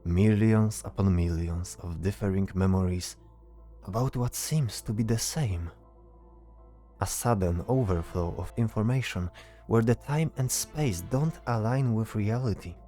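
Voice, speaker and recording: A man's voice: fairly low pitch, measured pace, warm tone, soft background music. A man narrating a scripted fantasy story as the narrator of an audio drama.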